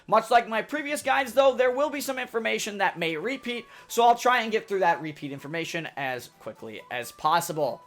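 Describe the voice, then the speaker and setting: A young man with a loud, energetic delivery, reading a scripted tutorial as a gaming YouTuber. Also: sits high, fairly quick, few pauses. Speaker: gaming YouTuber giving a weapon guide. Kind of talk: scripted game tutorial narration.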